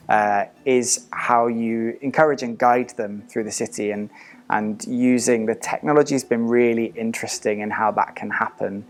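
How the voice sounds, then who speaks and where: Man, theatre director explaining his work. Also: mid-range voice. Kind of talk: interview, explaining a creative process.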